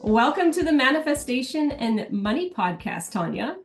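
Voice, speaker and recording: A woman's voice: sits high, big pitch swings, normal speed, faint music behind. A woman hosting a podcast, reading an intro and welcoming her guest in an upbeat, announcer-like way. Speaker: podcast host. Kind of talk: scripted podcast intro and interviewing.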